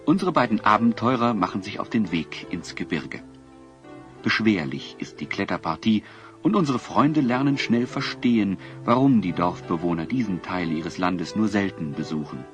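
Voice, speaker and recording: A male storyteller narrates a children's radio drama. His voice is fairly low with expressive swings in melody. The phrasing is measured and literary, over faint music.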